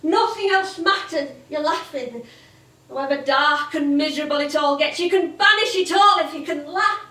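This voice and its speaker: An actress playing an older English music-hall comedienne on stage, wistful and chatty, reminiscing in a rambling way. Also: female voice, high-pitched, normal speed, pushed and bright. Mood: tender, reflective. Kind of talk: theatrical stage monologue and dialogue. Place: theatre stage. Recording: echoey stage, distant microphone.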